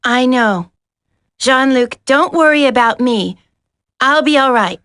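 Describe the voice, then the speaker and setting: An actress playing a brave, gentle female teammate in a video game drama, speaking in a halting, earnest way. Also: high voice, lively intonation, no room sound, clean studio sound, full character voice. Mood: stoic, reassuring.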